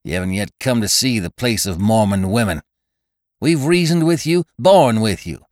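A man voicing a stern, fanatical male church elder who gives orders in a raised, forceful voice. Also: lowish voice, lively intonation, moderate pace, dry recording, studio quality, pitched above the performer's own voice, lightly acted. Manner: raised, forceful, commanding delivery.

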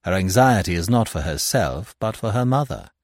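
A man's voice: on the low side, animated, normal speed, a little mellow, dry recording, clean studio sound, close to an everyday voice. A man voicing a detective's susceptible male friend, an earnest, easily shocked romantic with a self-conscious manner, in an audiobook. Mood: eager, surprised. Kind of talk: audiobook character voice.

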